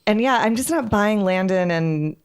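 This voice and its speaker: A woman's voice: medium pitch, lively intonation, moderate pace, clean tone. A woman guest on a casual podcast, dry and wry in her complaints. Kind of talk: casual chat.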